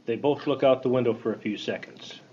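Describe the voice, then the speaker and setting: A man's voice: medium pitch, brisk, breathy edge, dull audio. A man reading scripted narration and scene directions for a radio play. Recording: loud rain and background noise.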